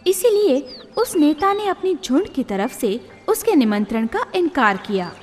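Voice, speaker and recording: A woman storyteller reading scripted children's tales in a deliberate, expressive manner. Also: high-pitched. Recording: long reverb, music behind.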